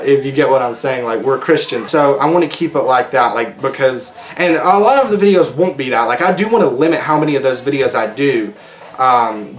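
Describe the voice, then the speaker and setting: A man hosting his own casual solo podcast, rambling and chatty with lots of ums and restarts, his voice going hoarse. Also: medium pitch, roomy sound. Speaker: podcast host.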